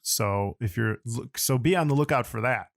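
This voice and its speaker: A man's voice: mid-range voice, normal speed, vocal fry, no room sound, clean studio sound. A man hosting a podcast, interviewing guests in a casual conversational style. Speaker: podcast host.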